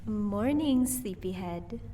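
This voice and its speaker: A woman playing a gentle, teasing vampire wife in an audio roleplay. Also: medium pitch, expressive, slow, recorded from a distance, slight background noise. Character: sweet, playful female vampire, doting wife.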